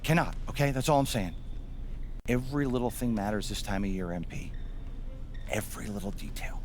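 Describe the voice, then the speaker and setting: A man's voice: fairly low pitch, lively intonation, brisk, faint music behind, close to an everyday voice. A man playing a demanding, motivating college basketball coach in a game, repeating himself for emphasis. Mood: firm, serious. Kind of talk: game cutscene acting.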